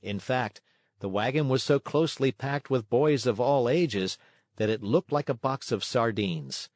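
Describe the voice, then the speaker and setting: A man reading a children's story as scripted narration, expressive in his storytelling. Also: medium pitch, unhurried, no room sound, studio quality.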